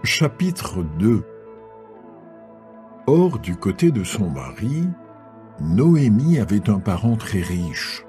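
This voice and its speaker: A man narrates scripture with a lively rise and fall, taking his time. The voice is warm and dark, over faint music. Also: on the low side, slow, long pauses.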